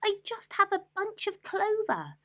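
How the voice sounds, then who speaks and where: A woman's voice: huge pitch swings, a little slow, full character voice. A woman voicing a small, meek, timid female rabbit in a storybook. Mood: meek, frightened. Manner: meek, high-pitched squeak. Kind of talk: storybook character voice.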